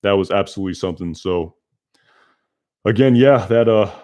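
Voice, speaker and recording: Young male streamer, loud and a bit low-pitched, rambling and casual, lots of fillers. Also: normal speed. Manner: rambling, many fillers and restarts. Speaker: YouTube reviewer hosting a live stream. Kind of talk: casual live-stream chat reading comments.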